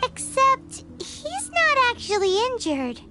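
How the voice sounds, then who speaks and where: A woman's voice: very high voice, wildly swooping pitch, slow pace, loud music behind the voice, exaggerated voice. A woman voicing a tiny, cheeky, childlike fairy companion in an anime video game. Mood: cheeky, chirpy.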